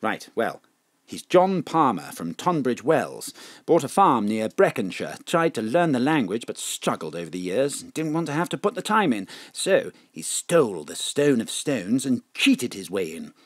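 A man's voice: medium pitch, big pitch swings, moderate pace, no room sound, studio quality. An actor playing a wry, down-to-earth male investigator in an audio drama, speaking matter-of-factly.

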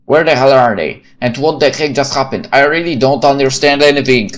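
A man reading a visual novel aloud in a theatrical, expressive manner, with spoken asides. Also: medium pitch, fairly quick. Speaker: gaming channel narrator. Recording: music behind the voice.

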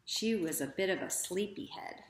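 A woman in her thirties reading a children's story aloud in a deliberate, expressive way. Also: mid-range voice. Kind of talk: children's read-aloud narration. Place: small living room, indoors. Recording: echoey living room.

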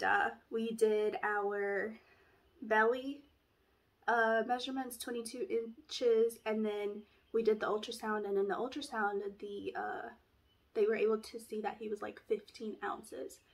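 Stuffy-nosed young woman vlogging casually. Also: on the high side. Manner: chatty, rambling, many "like" fillers. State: stuffy nose from allergies. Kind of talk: casual pregnancy update vlog. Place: small room at home, indoors. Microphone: camera in front, framed at chest.

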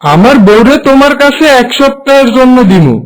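A man's voice: extremely high pitch, big pitch swings, brisk, low-quality recording. A man voicing a stern, bossy, scheming village husband in a cartoon, speaking with a Bangladeshi Bengali accent. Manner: confrontational, commanding. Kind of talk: cartoon moral story voice acting.

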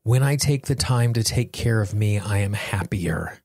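A man with a lowish, husky voice hosts a self-help podcast. He speaks earnestly and encouragingly. The sound is dry, with little room around it. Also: animated, moderate pace, a little mellow, dry recording. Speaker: podcast host. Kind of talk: guided self-help tapping affirmations and podcast talk.

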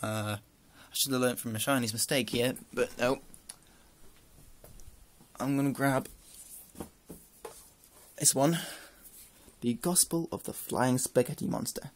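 A man's voice: mid-range voice, fairly even intonation, slow, long pauses, a little hiss or hum. A young man with a northern English accent, chatting casually through a list of questions into a close webcam in a small room, rambling with dry asides. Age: young adult. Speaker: vlogger.

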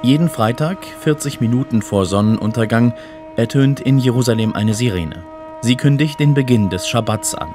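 A man's voice: medium pitch, normal speed. A man narrating a radio documentary from a script. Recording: music under the voice. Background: music.

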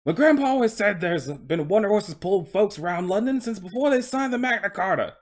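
A talking coach horse with a broad Cockney accent. The voice sits high and leaps about in pitch. He is proud and aggrieved, full of polite, boastful working-class London patter. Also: animated, fairly quick. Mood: incredulous, boastful. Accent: put-on Cockney.

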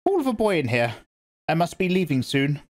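A man reading aloud and voicing a stern, commanding old woman, a religious elder, in a sharp and lecturing manner. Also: fairly high pitch, sing-song, moderate pace, pitched above the performer's own voice.

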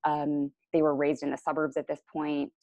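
A young woman from the Chicago area presenting over slides on a video call, relaxed and full of ums and self-corrections. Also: low voice, moderate pace, dry recording. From Chicago area, USA. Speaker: panelist. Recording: dull lo-fi video call audio.

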